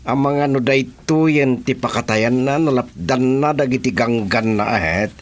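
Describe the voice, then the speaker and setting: An actor with an Ilocano accent playing a bossy, gloating, hard-drinking boss in a radio drama. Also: male voice, mid-range voice, a little slow, lightly acted. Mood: gloating, swaggering. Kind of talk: Filipino radio drama acting.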